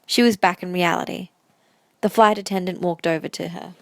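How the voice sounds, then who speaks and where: A woman's voice: on the low side, fairly even intonation, moderate pace, no room sound. A woman reading scripted story narration as the narrator of an audio drama.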